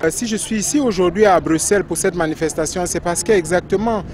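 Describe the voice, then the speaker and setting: A man's voice: sits high, fairly quick, crowd noise, noisy background. A man protester answering an interview hesitantly, with fillers.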